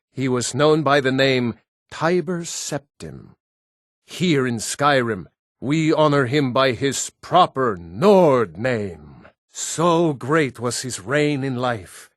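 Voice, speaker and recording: A stern Nord of a fantasy game. He speaks slowly and deliberately at a medium pitch, with proud weight, recorded very dry and close. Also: no echo. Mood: reverent, lecturing. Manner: measured, weighty, deliberate delivery. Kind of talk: fantasy video game dialogue.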